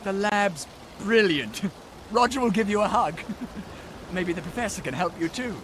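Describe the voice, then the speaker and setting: An actor playing a frantic, self-pitying bumbling lab houseboy in a video game, agitated and overwrought, with a British accent. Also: male voice, high voice, lively intonation, measured pace, background noise. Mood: overjoyed. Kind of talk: video game character acting.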